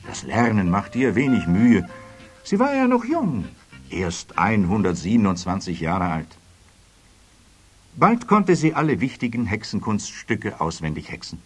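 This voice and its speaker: A man narrating a children's story expressively, with a husky voice. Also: on the low side.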